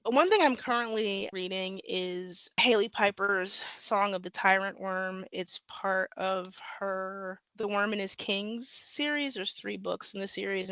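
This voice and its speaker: A woman's voice: medium pitch, dry recording, dull audio. A woman author from the American Midwest, chatting casually in an interview and talking animatedly with lots of fillers. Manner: animated, talks with many fillers and laughs. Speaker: guest author.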